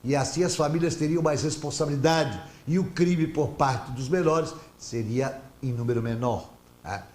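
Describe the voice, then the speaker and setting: A man's voice: mid-range voice, normal speed, darkish tone, reverberant. A man hosting a TV panel debate, reading viewer messages aloud and pressing his guests with pointed questions. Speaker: TV talk show host. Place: TV studio.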